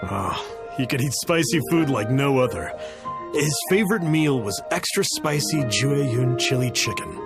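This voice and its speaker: A man's voice: mid-range voice, animated, measured pace, music in the background. A man voicing a thoughtful, kindly cook in a video game, earnest and reflective. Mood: fond, helpful. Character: thoughtful male cook, loyal, kindly old friend.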